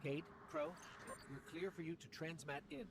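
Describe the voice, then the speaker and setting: A man voicing an eager, wary little robot companion in a video game, earnest and expressive. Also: on the high side, moderate pace, noisy background, close to an everyday voice. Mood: alert, puzzled.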